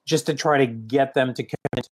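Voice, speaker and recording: A man with a slight French accent, a real estate agent talking through his email follow-ups, halting and often restarting his sentences. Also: mid-range voice. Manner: halting, restarts often, many "you know"s. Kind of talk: casual coaching conversation.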